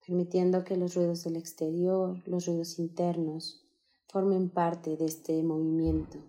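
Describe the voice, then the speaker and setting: Woman, meditation guide, slightly low pitch, very slow pacing, soothing, calm, spaced-out phrases.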